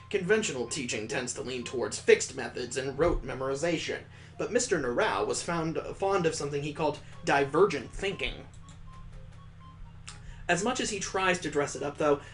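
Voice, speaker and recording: A man's voice: fairly high pitch, a bit bright. A man narrating a visual novel aloud, with casual, wry asides as he streams. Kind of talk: casual gameplay commentary and narration.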